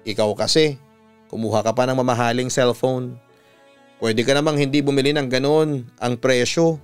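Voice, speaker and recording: A man voicing a concerned, frank young woman with a Filipino accent, gently scolding and questioning her friend in a dramatized story. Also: mid-range voice, steady tone, moderate pace, clear, resonant tone, faint music behind, natural voice. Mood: mild reproach.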